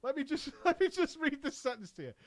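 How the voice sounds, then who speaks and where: Sarcastic male streamer, high and lively voice, swears a lot, reacts to the story he reads. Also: fast talker. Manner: sarcastic, swears freely, laughs often. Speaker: streamer reading viewer stories. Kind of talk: reaction commentary on a read-out story.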